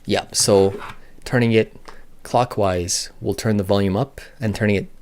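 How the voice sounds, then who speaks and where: A man's voice: on the low side, fairly even intonation, a little slow, a little mellow, a little hiss or hum. A man giving a casual, unscripted product demonstration, thinking aloud in a matter-of-fact way as a reviewer.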